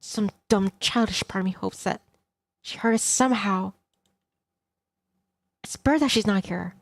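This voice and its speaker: A woman streamer reading a visual novel aloud in dramatic first person, tense and expressive, in short clipped phrases. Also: mid-range voice, measured pace, creaky, dry recording. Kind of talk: dramatic first-person narration of a game script. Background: game soundtrack music.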